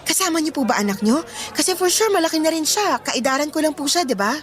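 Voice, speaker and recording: Respectful, burdened young Filipina. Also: female voice, high-pitched, lively intonation, quick pace. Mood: polite, curious. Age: young adult. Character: respectful, burdened young woman, devoted and sentimental. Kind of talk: Filipino radio drama acting.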